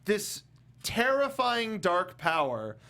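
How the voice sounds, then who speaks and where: A man, fairly young, hosting a casual movie review panel in an animated, jokey way and often stumbling over his words. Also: high voice, slow, gravelly. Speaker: talk show host.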